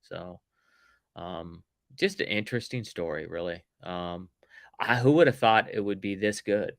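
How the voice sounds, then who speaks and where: A man hosting a casual sci-fi review podcast, talking loudly and upbeat, projecting his voice. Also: deep voice, a little slow, no room sound, clean studio sound. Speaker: podcast host.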